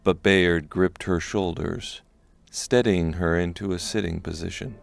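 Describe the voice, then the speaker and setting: A man reading scripted narration for a dark fantasy audiobook. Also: low voice, steady tone, measured pace, gravelly, dry recording.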